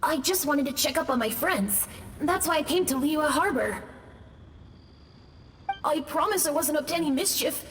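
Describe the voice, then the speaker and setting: An actor voicing a timid, gentle boy ghost who got lost, speaking shyly and hesitantly. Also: very high voice, lively intonation, normal speed, long pauses, faint music behind. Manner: shy, hesitant, soft-spoken. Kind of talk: video game voice acting.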